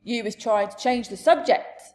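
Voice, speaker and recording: Lady doing a big friendly giant guy for a kids storybook, loud n blustery, higher pitched, mangles his words. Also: expressive, a little slow, slight background noise. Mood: scolding. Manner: emphatic, mangled grammar and invented words. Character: big friendly giant, male, blustering, jumbled, good-natured. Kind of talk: storybook character voice.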